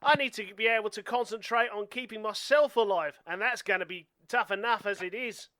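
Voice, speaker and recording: A man's voice: high voice, fairly quick, pushed and bright, dry recording. A man acting in an amateur radio play as an earnest, blunt young survivalist with a clinical, matter-of-fact manner. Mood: blunt, grim.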